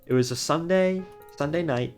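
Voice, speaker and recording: A man's voice: on the high side, normal speed, a little mellow, soft background music. A young man in college, a streamer telling a rambling story with restarts in casual chat while gaming. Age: young adult.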